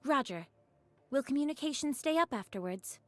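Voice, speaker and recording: A woman's voice: sits high, animated, normal speed, dry recording. A woman playing a composed, capable young squad leader in an anime game, speaking decisively and politely.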